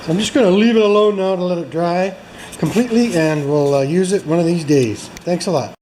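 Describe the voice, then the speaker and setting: A man's voice: high-pitched, brisk, darkish tone, slight background noise, low-quality recording. A man hosting a workshop video, chatting casually and joking with viewers while he works in a garage. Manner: chatty, self-mocking, talks to viewers while working.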